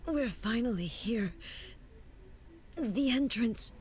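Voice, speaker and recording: Fantasy game heroine: young, composed, weary, unhurried delivery, noisy game audio. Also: female voice, fairly high pitch, expressive, a little mellow. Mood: composed, strained. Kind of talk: scripted video game voice acting.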